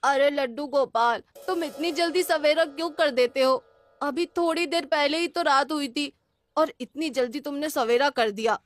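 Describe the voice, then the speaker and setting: A woman's voice: high voice, moderate pace, a little hiss or hum, pitched above the performer's own voice, natural voice. A woman voicing an innocent, wistful poor little village boy in a cartoon story, with a childlike, naive manner. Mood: grumbling.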